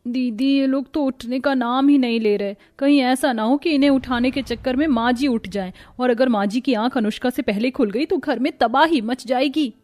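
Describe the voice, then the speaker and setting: A woman plays an anxious younger sister-in-law in a cartoon household drama. She speaks in a slightly high voice with a fretful, complaining manner. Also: moderate pace, few pauses, a little mellow, lightly acted. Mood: worried. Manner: worrying, complaining. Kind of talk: Hindi cartoon family story voice acting.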